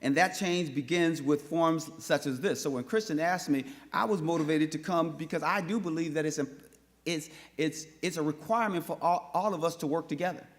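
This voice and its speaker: A man from North Minneapolis addresses a forum audience with fervent conviction. His voice sits a touch high, and he circles back on words as he pushes his point. He speaks as a public speaker rallying a room. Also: a little fast. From North Minneapolis, USA. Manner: impassioned, repetitive restarts. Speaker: panel speaker. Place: forum hall with live audience.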